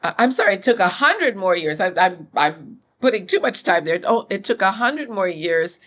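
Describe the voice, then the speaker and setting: A woman in her sixties, a scholar being interviewed, speaking in a measured, thoughtful way. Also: medium pitch, very animated. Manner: measured, thoughtful, occasional soft laughs. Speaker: guest scholar. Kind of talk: interview conversation.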